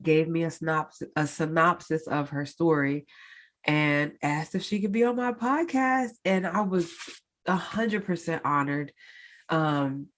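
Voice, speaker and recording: A woman's voice: low voice, a little slow. A woman hosting her own podcast in a casual solo update, congested and sounding nasal, chatty with many fillers and a lilt. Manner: chatty, many fillers, addresses listeners directly. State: congested, stuffed-up nose. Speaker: podcast host.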